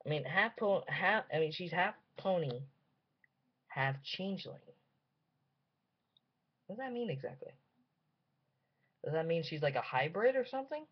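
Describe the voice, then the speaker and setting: Halting young man on a dull webcam mic. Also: a little high, expressive, slightly rough. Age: young adult. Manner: halting, repetitive, thinking aloud. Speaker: reaction video host. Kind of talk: casual off-the-cuff commentary. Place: small dim room indoors. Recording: slightly dull webcam audio.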